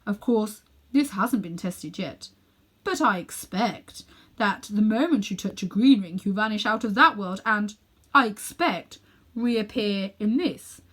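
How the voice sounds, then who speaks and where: A woman's voice: medium pitch, animated, unhurried, a bit bright. A woman voicing a pompous, cruel old uncle who dabbles in magic, condescending and smug, in a children's storybook reading. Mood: instructing. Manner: haughty, condescending, coolly smug.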